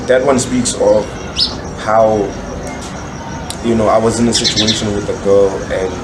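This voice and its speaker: A South African man with a fairly low voice talks casually in an echoey room over loud background noise. He sounds reflective, explaining himself at length as a musician guest on a podcast. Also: steady tone, measured pace.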